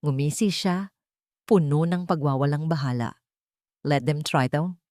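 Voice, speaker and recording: Low-pitched woman narrator, highly expressive. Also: no echo, clean studio sound. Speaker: story narrator. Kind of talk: dramatic scripted story narration.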